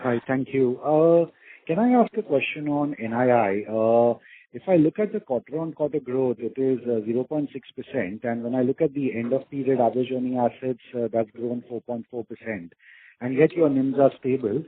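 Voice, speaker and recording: Husky man on a narrow phone line. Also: mid-range voice, normal speed, no room sound. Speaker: analyst asking questions. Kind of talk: earnings call questioning.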